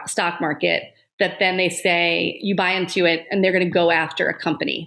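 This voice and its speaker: A woman's voice: lowish voice, vocal fry, fairly bright tone. A woman reporter guest explaining a concept casually, with frequent fillers. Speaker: journalist guest. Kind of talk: explanatory interview answers.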